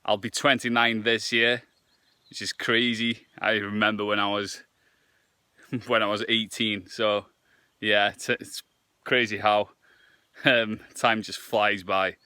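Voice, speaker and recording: A man's voice: lowish voice, level tone, slow pace, pauses often, sharp tone, smooth, no echo. A Welsh man in his twenties, a native Welsh speaker, chatting casually to camera on a walk with frequent hesitations. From Wales. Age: 20s. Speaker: fitness vlogger and nutritionist. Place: outdoors on a morning walk.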